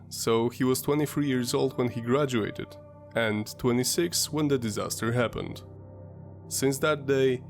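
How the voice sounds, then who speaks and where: Brief: male host, stuffy sinus-congested voice, mid-pitched, measured documentary read, faint music underneath. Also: darkish tone. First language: Polish. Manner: measured, explanatory storytelling. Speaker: history channel host. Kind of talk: scripted documentary narration.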